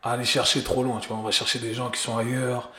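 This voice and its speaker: A man's voice: medium pitch, flat delivery, fast, pushed and bright. A man, hosting a casual podcast and chatting loosely with English slang mixed in. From Geneva, Switzerland. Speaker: podcast host. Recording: roomy echo.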